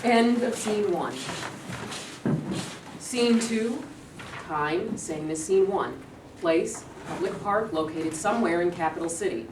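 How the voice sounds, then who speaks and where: A woman's voice: on the low side, expressive, slow pace, long pauses. A woman narrating the stage directions of a scripted play at a staged reading. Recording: echoing room, distant mic, clear noise.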